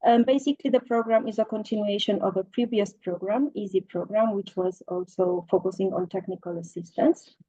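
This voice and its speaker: A woman's voice: mid-range voice, fairly even intonation, fairly quick, hardly any pauses, dark tone, no room sound. A woman in her forties presenting a program in a webinar, listing details with frequent fillers over a video call. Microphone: video call, laptop mic. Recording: slightly muffled dry video call audio.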